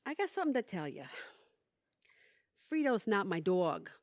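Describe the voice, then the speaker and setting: A woman's voice: mid-range voice, very animated, normal speed, a little mellow, dry recording, studio quality, natural voice. An actress playing a plain-spoken, wry, hesitant woman who longs to be braver, in an audio play. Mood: nervous confessing. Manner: folksy, candid, wry. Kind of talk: audio theatre acting.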